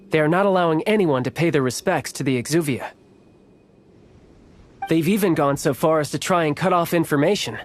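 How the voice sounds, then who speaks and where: A man playing a suave, slyly friendly young foreign agent in a video game, with a smooth, teasing, theatrical manner. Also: a little high, natural voice. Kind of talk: video game cutscene acting.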